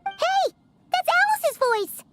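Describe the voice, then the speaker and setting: A woman voicing a small, excitable, childlike fairy companion in a video game, exclamatory and impatient. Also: extremely high pitch, slow, loud music behind the voice, full character voice. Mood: surprised, whiny. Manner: exclamatory, refers to self in third person. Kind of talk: animated game voice acting.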